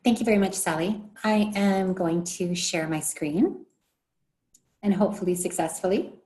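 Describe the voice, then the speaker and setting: A woman with a quiet voice presenting as a town community services manager over a video call. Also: medium pitch, normal speed. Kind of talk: online meeting presentation.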